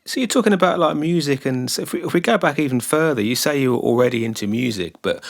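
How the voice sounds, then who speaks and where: A male podcast host asking halting questions, often restarting his sentences. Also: mid-range voice, vocal fry. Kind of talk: interview questions.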